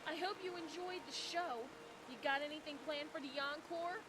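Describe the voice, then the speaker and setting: Cocky, high-voiced female vigilante played by an actress. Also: fairly even intonation, normal speed, noisy background, lightly acted. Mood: taunting. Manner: taunting, wry. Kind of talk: dramatic audio drama acting. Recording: loud music behind.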